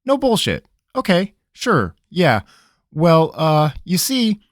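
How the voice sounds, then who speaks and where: A man voicing a nervous, rambling young farm-boy mage with a high, twangy, folksy voice. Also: wildly swooping pitch, takes it slowly, dry recording, clean studio sound, heavily acted. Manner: jittery, wheedling, folksy.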